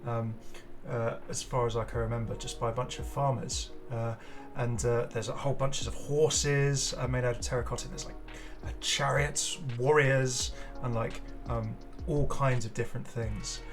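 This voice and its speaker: A man's voice: medium pitch, steady tone, moderate pace, few pauses. A man hosting a gaming video, giving casual, chatty and enthusiastic commentary with rambling asides. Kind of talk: casual game showcase commentary. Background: music.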